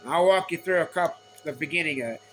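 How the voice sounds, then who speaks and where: A man giving an informal technical explanation from notes, speaking haltingly in short, repeated phrases. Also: fairly high pitch, a bit bright, slight background noise. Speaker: explainer of radio antenna measurements.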